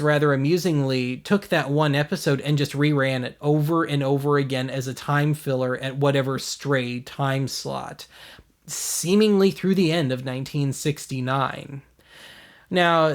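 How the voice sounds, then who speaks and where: An American man hosting a video series, giving casual commentary in a wry, blunt, self-deprecating way. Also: medium pitch. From United States.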